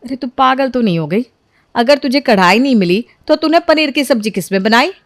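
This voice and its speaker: A woman's voice: on the high side, expressive, brisk, dry recording, studio quality, natural voice. A woman voicing a weary, scolding older mother-in-law in a family story, exasperated and lamenting. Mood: scolding, outraged.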